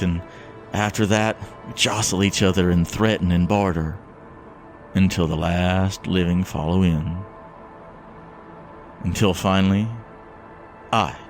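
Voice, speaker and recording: A man playing a fervent, fatalistic last survivor in a solemn, measured, theatrical audio drama monologue. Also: fairly low pitch. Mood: anguished resolve. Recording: scary music behind the voice.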